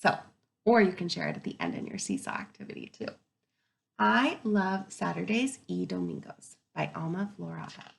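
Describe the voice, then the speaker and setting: Animated woman teacher reading to young children. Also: on the low side, vocal fry. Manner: expressive, animated, pauses to wonder aloud. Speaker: kindergarten teacher. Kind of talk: picture book read-aloud for young children with think-aloud comments.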